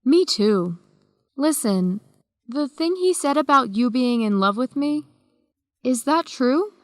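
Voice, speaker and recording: A young woman in a radio drama, principled and direct. Her voice is a touch high and firm, captured in a dry studio. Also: animated, slow, dry recording. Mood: hurt, searching. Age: young adult. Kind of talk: educational radio drama acting.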